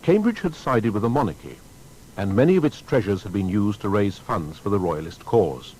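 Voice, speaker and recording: A man narrating a scripted documentary biography in a formal, measured storytelling manner. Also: on the low side, lively intonation, a little mellow, husky, rough recording.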